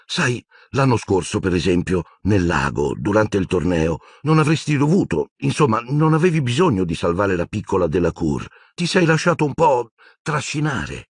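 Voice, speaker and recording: A man's voice: lowish voice, sing-song, moderate pace, slightly rough, no echo, clean studio sound, voice pushed up. A man voicing an anxious, earnest teenage girl in an audiobook, timid but stubborn, with hesitant, pleading delivery. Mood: nervous.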